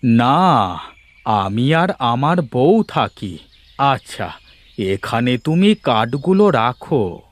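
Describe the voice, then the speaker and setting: An actor playing a frail, weary old forest man in a cartoon, with a plaintive, halting delivery. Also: medium pitch, very animated. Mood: friendly.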